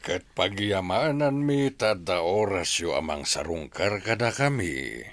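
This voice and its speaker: A man's voice: deep voice, lively intonation, a little slow, dry recording. A man with a Filipino Ilocano accent playing a concerned older father-in-law in a radio drama.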